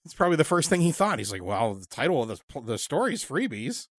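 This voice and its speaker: A man interviewing someone casually as host, in a halting way that repeats words while he searches for the next. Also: on the high side, very animated, fairly bright tone, dry recording, clean studio sound.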